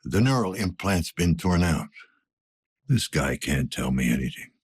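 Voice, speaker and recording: Husky, deep-voiced weary detective. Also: male voice, sing-song, a little slow, no echo, clean studio sound, exaggerated voice. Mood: grim, clinical. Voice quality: husky, gravelly. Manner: terse, world-weary, low-key delivery. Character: weary, gruff older male cyberpunk police detective. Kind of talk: video game voice acting.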